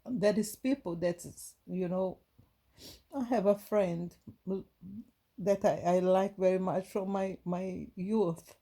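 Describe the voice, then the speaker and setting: A woman from Brazil with a Brazilian Portuguese accent, giving a gentle, halting inspirational talk to her viewers with many restarts. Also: fairly low pitch, slightly rough. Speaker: spiritual vlogger.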